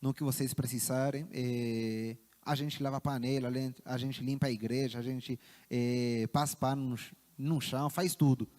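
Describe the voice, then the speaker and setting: A man's voice: medium pitch, steady tone, a little mellow. Earnest Chilean-accented man in reverberant hall. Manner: earnest, halting, repeats words while preaching. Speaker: missionary. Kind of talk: church address.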